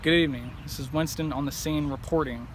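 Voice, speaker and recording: A man's voice: a little high, steady tone, fast talker. A young man giving a scripted on-the-scene news report outdoors as a field reporter. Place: outdoors by a road and houses. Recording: noisy outdoor location audio.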